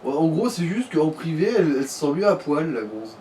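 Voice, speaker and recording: Male co-commentator, mellow, fairly high voice, wry, slightly distant noisy mic. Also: big pitch swings, fairly quick. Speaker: let's play co-commentator. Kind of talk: casual chat over a game.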